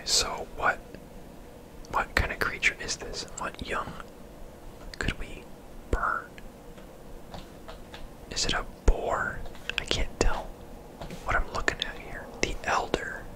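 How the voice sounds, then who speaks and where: A person whispering casual, laid-back ASMR commentary over a video game, in a breathy, unvoiced voice. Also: low-quality recording. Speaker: host, gamer.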